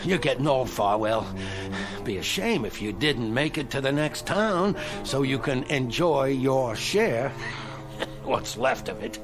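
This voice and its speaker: An actor playing a sadistic, greedy thief in a radio drama, with mocking, folksy, slangy taunts. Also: male voice, medium pitch, expressive, takes it slowly, over music. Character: sadistic, greedy male thief taunting his weaker partner.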